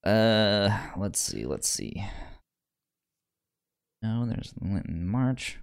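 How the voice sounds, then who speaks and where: A man co-hosting a podcast, chatting casually about books in a halting way. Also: lowish voice, very animated, creaky, smooth. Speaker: podcast co-host discussing comics. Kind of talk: casual chat, book review.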